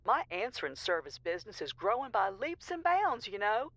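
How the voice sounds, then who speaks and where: A woman's voice: medium pitch, sing-song, moderate pace, a bit bright, no room sound. A woman playing a sassy, chatty answering service operator who is folksy, mouthy and easily exasperated, in an audio comedy. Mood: teasing. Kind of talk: audio comedy drama dialogue.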